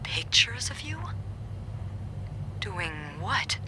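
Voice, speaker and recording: Sly hotel receptionist, video game character, self-assured, teasing, clearly bright tone, faint background noise. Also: female voice, fairly high pitch, animated, extremely slow, exaggerated voice. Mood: teasing, intrigued. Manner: wry, teasing, confident.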